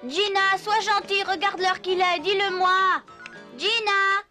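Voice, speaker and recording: Cartoon puppet boy: very high-pitched, whining and exclamatory, restless, faint music behind. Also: fairly even intonation, a little slow. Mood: pleading, grumbling. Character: Pinocchio, whiny restless wooden puppet boy. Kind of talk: animated cartoon dub acting.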